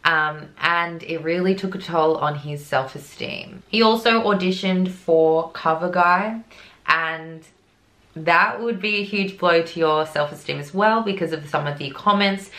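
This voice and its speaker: A woman's voice: on the low side, measured pace, a bit bright, reverberant. A woman hosting a true crime vlog, narrating casually and giving her opinion in a chatty way. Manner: chatty, opinionated, quotes others in passing.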